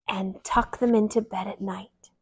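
A woman in her thirties reading a picture book aloud to young children in an expressive, animated storytelling way. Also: medium pitch, measured pace, slightly rough. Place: small living room, indoors. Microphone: clip-on lapel mic.

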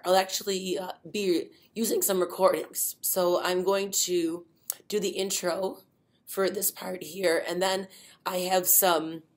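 A middle-aged woman presenting an instructional webinar from a desk webcam, explaining step by step with frequent ums and uhs. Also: fairly low pitch. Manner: many fillers, unhurried explaining.

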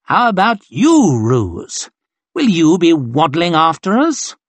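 A man's voice: a little high, big pitch swings, unhurried, husky, no room sound, voice pushed up, exaggerated voice. A man voicing an arrogant, mocking cavalry colonel with a sneering, theatrical delivery.

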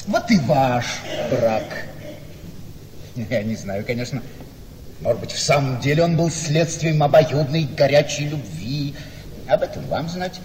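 An actor playing a cynical, mocking gentleman in a radio play, with a deliberate, sardonic, theatrical delivery. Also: mid-range voice. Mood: cool warning. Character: cynical, mocking gentleman needling a friend's young wife. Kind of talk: Russian classic radio play acting. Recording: reverberant hall-like studio, faint noise.

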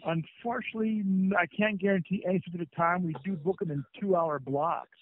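A man's voice: sits high, fairly quick, no echo. A man working as a phone agent, speaking politely and formally in scripted customer service style. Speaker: plumbing company phone agent. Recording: tinny lo-fi phone call.

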